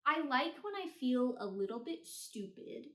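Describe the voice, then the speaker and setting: A woman's voice: high voice, big pitch swings, normal speed. A woman giving a casual, chatty book review with blunt, hyperbolic opinions and slangy phrasing. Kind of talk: casual chatty ranking of books read. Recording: roomy, echoey sound.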